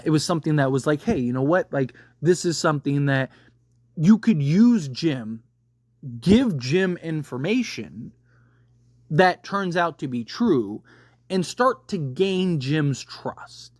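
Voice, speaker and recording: Emphatic man with a higher-pitched voice. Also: a little mellow, no echo, clean studio sound. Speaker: video creator reviewing a TV series. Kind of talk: casual spoken commentary.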